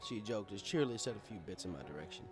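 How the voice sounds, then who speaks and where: A man's voice: on the low side, animated, fast, music in the background. A man reading a first-person story as scripted narration, in a wry, conversational manner. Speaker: story reader.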